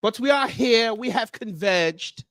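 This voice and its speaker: A man's voice: high-pitched, expressive, measured pace, a bit bright, no room sound. A man putting on a Nigerian accent to play a grandiose, formal, self-proclaimed prince who hosts a livestream and greets viewers one by one. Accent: put-on Nigerian. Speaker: livestream host. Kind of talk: comedic character livestream.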